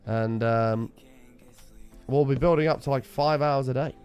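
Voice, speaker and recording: A man streaming a game, chatting casually and wryly with his viewers while his voice is hoarse and sore. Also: mid-range voice, a little slow, darkish tone, faint music behind. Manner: bantering, wry, teasing chat members.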